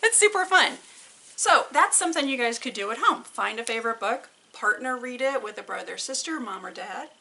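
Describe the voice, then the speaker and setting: A woman's voice: on the high side, expressive, fairly quick, vocal fry, pushed and bright, poor audio. A woman hosting a story time, chatting casually to children. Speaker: story reader and host.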